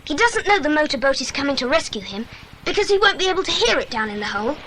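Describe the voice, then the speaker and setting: A woman's voice: sits high, very animated, quick pace, fairly bright tone, a little hiss or hum, low-quality recording. A woman playing a bold, bossy boy who leads his friends in a children's audio drama, with a commanding manner. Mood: decisive. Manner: commanding, decisive.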